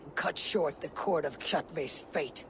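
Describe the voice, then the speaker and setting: A woman's voice: low-pitched, takes it slowly, fairly bright tone, slight background noise, full character voice. A woman playing a proud, vengeful young Viking warrior in a video game, speaking in solemn, resolute, formal phrases. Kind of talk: dramatic video game acting. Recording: music behind the voice.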